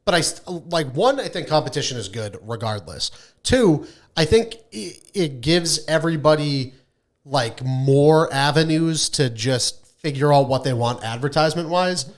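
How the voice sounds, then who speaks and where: A man's voice: a little high, expressive, a little slow. A man co-hosting a podcast, explaining his opinions in casual conversation. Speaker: podcast co-host.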